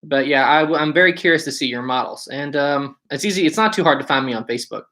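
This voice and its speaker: A man's voice: fairly high pitch, quick pace, bright, forceful tone. A man with a West Virginia accent hosting a casual livestream, chatting informally and answering viewer comments. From West Virginia, United States. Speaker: livestream host.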